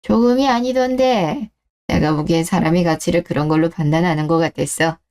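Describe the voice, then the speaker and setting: A woman voicing a protective middle-aged father in a scripted story-radio drama, frank, measured and cautioning. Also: lowish voice, darkish tone, pitched below the performer's own voice, natural voice. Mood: frank concern.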